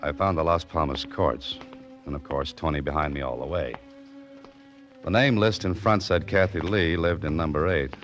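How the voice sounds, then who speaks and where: Deep-voiced, wry hard-boiled hero. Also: male voice, moderate pace, a bit bright. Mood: tense, wry narration. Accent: American. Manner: dry, wisecracking, clipped tough-guy delivery. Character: wry, hard-boiled adventurer hero narrating his own mystery. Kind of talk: 1940s radio mystery acting and first-person narration. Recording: muffled old radio recording with music.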